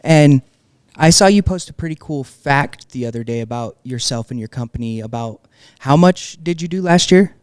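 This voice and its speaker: A man's voice: mid-range voice, animated, moderate pace, a little mellow, clear, resonant tone, dry recording, studio quality. A man hosting a podcast, asking casual, slangy questions with some "um" fillers. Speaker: podcast host. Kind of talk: casual interview chat. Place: podcast studio. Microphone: podcast microphone.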